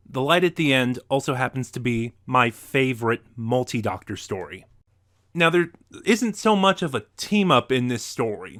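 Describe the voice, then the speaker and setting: Male video reviewer, mid-pitched, talking viewers through semi-scripted commentary. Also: fairly bright tone.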